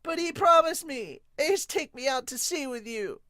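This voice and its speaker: A man's voice: very high voice, lively intonation, normal speed, bright, forceful tone, no echo, voice pushed up, heavily acted. A man voicing a naive, insistent little child in a manga, pleading and indignant.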